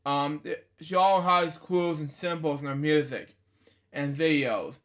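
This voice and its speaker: Male host, 20s, voice a bit high, halting casual read-aloud with rambling asides. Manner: halting, stumbles over words while reading; rambling asides with repeated catchphrases. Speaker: host of a story reading series.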